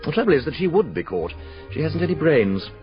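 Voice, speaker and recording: A man's voice: fairly high pitch, sing-song, fast talker. A man playing an urbane, gossiping man-about-town with a sardonic, knowing manner in a radio drama. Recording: muffled radio drama with music.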